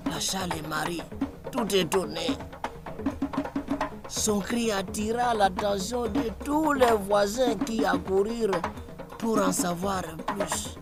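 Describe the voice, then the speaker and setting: Very slow woman telling a tale. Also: fairly low pitch. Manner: slow, deliberate, solemn moral at the end. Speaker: narrator. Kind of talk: scripted storytelling narration of a folk tale. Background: loud music.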